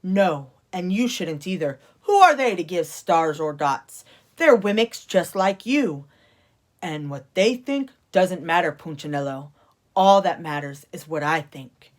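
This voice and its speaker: Big bearded craftsman from a children's tale, fatherly, unhurried, reassuring a woman acts him. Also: fairly low pitch, expressive. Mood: tender, reassuring. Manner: slow, gentle, thoughtful. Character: large, kindly, reassuring old bearded male woodcarver.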